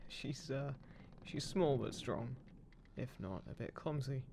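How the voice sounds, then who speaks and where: A man playing a roguish, smug, gruffly caring undead pirate captain in a roleplay audio drama, teasing and menacing, speaking unhurriedly to the listener. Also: medium pitch, takes it slowly, a little mellow, slight background noise. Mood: wry, guarded. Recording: constant ship creaking ambience behind voice. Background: creaking wooden ship sound effects.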